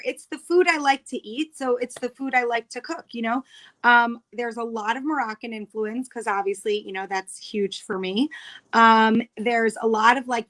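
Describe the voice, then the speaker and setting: A woman in her thirties from New York with an American accent speaks in a fairly high voice. She is an interview guest who chats casually and explains things in careful detail. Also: a bit bright. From New York, United States. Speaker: guest, cookbook author.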